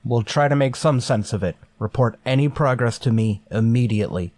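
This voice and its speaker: A man's voice: mid-range voice, fairly quick, a little mellow, close to an everyday voice. An actor playing a stern, wary male starship captain in a sci-fi radio drama, with a clipped, commanding manner. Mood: grim, wary. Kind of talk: golden age sci-fi radio drama acting.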